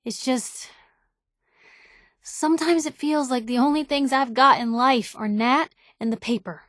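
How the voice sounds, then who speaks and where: Game companion, a nosy, wry young American newspaperwoman; slightly high voice, snappy informal delivery, very dry recording. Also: a little slow, a bit bright, no room sound. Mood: candid, subdued. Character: feisty, nosy young woman newspaper reporter, loyal and blunt. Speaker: video game companion character. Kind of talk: acted game dialogue.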